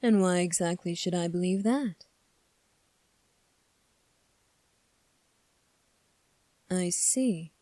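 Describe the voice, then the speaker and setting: A woman voicing a composed, coolly defiant young fantasy prince in an ASMR roleplay, speaking formally and deliberately with long pauses. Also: on the low side, warm tone, no echo, close to an everyday voice. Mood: calm, probing.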